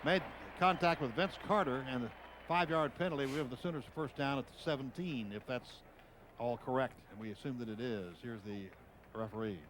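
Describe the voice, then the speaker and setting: A man's voice: medium pitch, fairly quick, fairly bright tone. An American man calling live football play-by-play on the radio in short, clipped phrases. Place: outdoor football stadium broadcast. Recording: noisy stadium radio broadcast.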